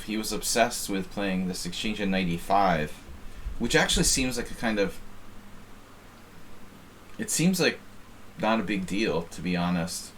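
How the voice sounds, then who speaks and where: A man's voice: fairly low pitch, fairly bright tone, a little hiss or hum, rough recording. An American man casually chatting while streaming online chess, rambling and self-deprecating as he reads the chat. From United States.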